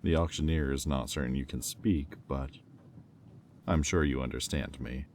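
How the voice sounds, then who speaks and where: A man playing a haughty, menacing demon in roleplay audio, speaking in a condescending, deliberate, taunting drawl. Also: a bass voice, fairly even intonation, a little mellow, a little hiss or hum. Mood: curious, coaxing. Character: haughty, menacing male demon buyer, coolly cruel and mocking. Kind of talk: slow, intimate roleplay audio acting.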